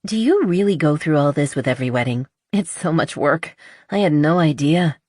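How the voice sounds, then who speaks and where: A woman's voice: low-pitched, expressive, normal speed, dark tone, no room sound, studio quality. Woman reading as a friendly, curious young artist.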